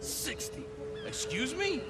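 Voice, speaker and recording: An actor playing a smug, pushy criminal dealer in a video game, slick, sneering and impatient. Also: male voice, very high-pitched, very animated, takes it slowly, exaggerated voice. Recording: loud music behind the voice.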